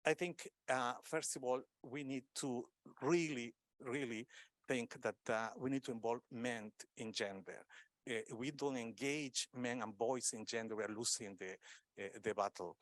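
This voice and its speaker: A middle-aged academic gives an unscripted panel talk at a conference. He halts often and fills gaps with hums while searching for the next word. His voice sits at an ordinary pitch for a man and sounds close and dry, with no room around it. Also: a little slow, no room sound. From Peru. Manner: hesitant, searching for words with many fillers.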